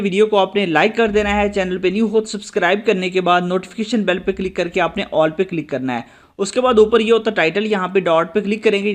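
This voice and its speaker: Male tutorial host, high register, instructional delivery straight to the viewer. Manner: instructional, repeatedly addressing viewers. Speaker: host of a tech tutorial channel. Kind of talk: screen-recorded app tutorial narration.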